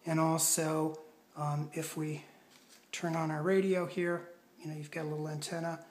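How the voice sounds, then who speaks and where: A man giving a casual product demonstration in a measured, explanatory and somewhat hesitant way. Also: fairly high pitch, little pitch movement. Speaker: product reviewer.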